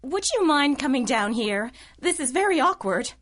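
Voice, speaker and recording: High-voiced, fretful damsel in distress. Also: female voice, sing-song, moderate pace, a bit bright. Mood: flustered. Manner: breathlessly earnest, flustered, polite. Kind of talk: melodramatic 1930s-style radio drama acting.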